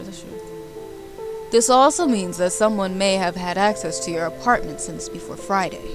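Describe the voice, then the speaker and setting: Girl streaming a visual novel, chatty n playful, casual talk, normal pitch, music playing behind her. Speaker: game streamer. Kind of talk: casual livestream chat while reading a visual novel aloud. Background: background music.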